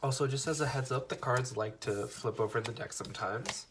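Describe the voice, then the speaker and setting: A man's voice: mid-range voice, fairly quick, background noise. Young guy with a cold doing a chill tarot reading, lots of uh and you know, talks right to viewers. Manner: relaxed, filler-heavy, talks straight to viewers. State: fighting off a cold. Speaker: tarot reader. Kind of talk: casual tarot reading to camera.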